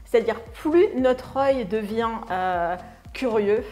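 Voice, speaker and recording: A middle-aged woman co-hosts a conversation in a somewhat high voice. She speaks reflectively, searching for words, while music plays behind her. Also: slow, warm tone. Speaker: co-host, artistic director. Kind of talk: conversational interview.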